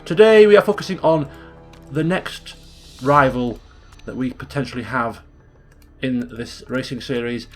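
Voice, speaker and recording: A man with a slightly hoarse voice, hosting a chatty let's play and walking through game menus. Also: a little high, fairly bright tone. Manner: upbeat, chatty, explains game menus step by step. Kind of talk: casual gameplay commentary.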